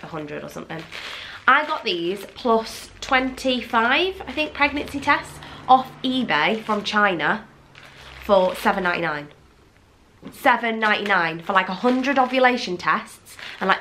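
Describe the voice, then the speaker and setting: A woman's voice: fairly low pitch, pushed and bright, slight background noise. A young woman vlogger from England chatting candidly to camera, with a blocked, fluey nose and lots of fillers and restarts. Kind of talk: casual chat to camera.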